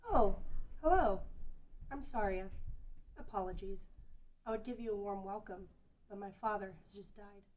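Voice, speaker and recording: A woman's voice: mid-range voice, normal speed, pauses often, a little mellow, a little hiss or hum, natural voice, low-quality recording. A woman playing a grieving little girl in a fantasy table read. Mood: fragile, anxious.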